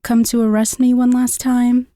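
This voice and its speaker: An actress playing a bold young vault dweller and lockpicker with an eager, cheeky manner. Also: female voice, a little high, little pitch movement, moderate pace, dark tone, close to an everyday voice. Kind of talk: audio drama acting.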